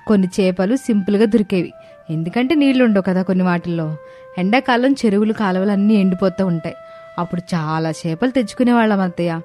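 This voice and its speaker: A woman voicing a lively, teasing young daughter-in-law in an animated moral story, with an expressive, coaxing delivery. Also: medium pitch, quick pace, soft background music, natural voice.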